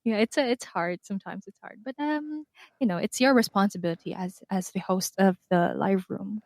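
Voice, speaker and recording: A woman who hosts live audio rooms, speaking in a soft, hesitant way. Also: medium pitch, normal speed, gravelly, dry recording. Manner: soft-spoken, hesitant, laughs lightly. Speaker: live room host.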